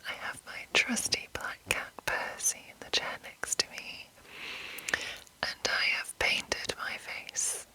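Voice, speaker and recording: A woman host whispering in an unhurried, informal, rambling ASMR style as she gives an introduction. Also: pushed and bright, rough recording.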